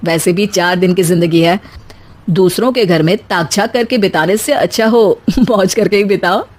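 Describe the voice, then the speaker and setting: A woman's voice: mid-range voice, normal speed, a little mellow, lightly acted. A woman voicing a young, easygoing husband in a family tale, plain and matter-of-fact. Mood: breezy.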